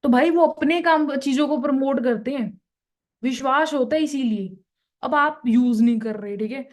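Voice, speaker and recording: A woman's voice: high-pitched, normal speed, a little mellow. A young woman leading a team training session, talking in a chatty, persuasive way that keeps slipping into English phrases, with a slightly sore throat. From Punjab, India. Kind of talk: motivational sales talk.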